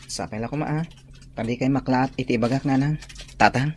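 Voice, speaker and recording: Actor as a hesitant, respectful Filipino son. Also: male voice, mid-range voice, brisk, a little mellow, a little hiss or hum, lightly acted. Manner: hesitant, deferential. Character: respectful, hesitant young son, newly graduated. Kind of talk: Filipino radio drama acting.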